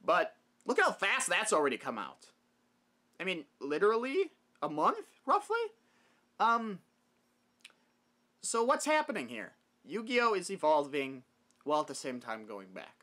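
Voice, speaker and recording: A man's voice: high-pitched, wildly swooping pitch, unhurried, long pauses, sharp tone. A young man talking casually and emphatically to camera, giving his opinions as a video host in a room at home. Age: young adult. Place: small wood-paneled room at home.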